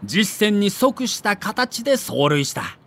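A man's voice: high voice, big pitch swings, slow pace, fairly bright tone. A man giving dramatic scripted narration for an audio documentary.

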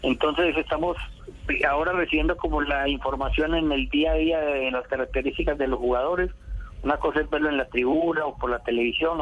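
A man, a football coach, speaking in a measured, formal way as a radio phone guest. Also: a little high, lo-fi sound.

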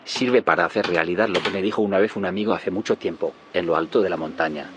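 An actor playing a determined, secretive inventor in an audio drama. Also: male voice, low voice, fast talker, background noise, natural voice. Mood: calm resolve. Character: male inventor of a time machine, determined, secretive.

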